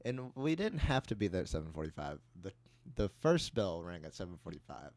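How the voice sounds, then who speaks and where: A man's voice: sits low, very animated, a little fast, darkish tone, dry recording. A young adult man co-hosting a podcast, telling anecdotes and bantering in a casual way. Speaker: podcast co-host.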